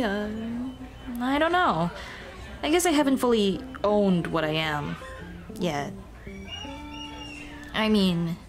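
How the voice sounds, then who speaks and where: A woman playing a shy, earnest Dullahan schoolteacher in an ASMR roleplay, speaking softly and expressively. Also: medium pitch. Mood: self-conscious. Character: shy, earnest female Dullahan teacher, headless monster girl on a date.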